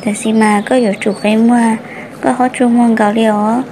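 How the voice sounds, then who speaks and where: A woman's voice: fairly high pitch, little pitch movement, fairly quick, dark tone, a little hiss or hum, natural voice. A woman voicing an adult woman in a folk-tale cartoon, in plain conversational speech. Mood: talking. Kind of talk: cartoon voice acting. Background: music.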